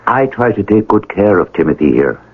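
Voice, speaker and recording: Low, husky, ingratiating con man. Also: big pitch swings, a little fast. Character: smooth-talking card-sharp sidekick, politely ingratiating. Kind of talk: radio drama acting. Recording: tinny old radio broadcast.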